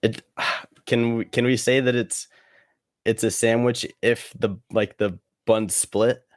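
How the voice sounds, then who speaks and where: A man's voice: mid-range voice, steady tone, slow, no room sound, clean studio sound. A twenty-year-old man chatting casually as a podcast guest, rambling hesitantly and saying "like" a lot. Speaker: guest cornhole player. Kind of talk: casual podcast interview chat.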